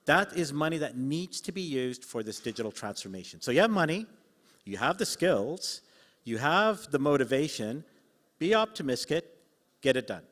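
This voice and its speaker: A man's voice: on the high side, very animated, moderate pace, fairly bright tone. A man speaking live on a conference panel, persuasive and emphatic, in short punchy phrases. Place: large indoor conference hall. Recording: echoey hall sound system.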